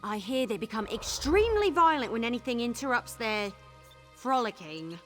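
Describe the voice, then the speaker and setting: An actress voicing a spirited, chatty and mischievous young female scout in a video game, with an expressive delivery. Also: fairly high pitch, moderate pace. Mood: hesitant, gossipy. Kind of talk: video game character acting. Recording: game dialogue over background music.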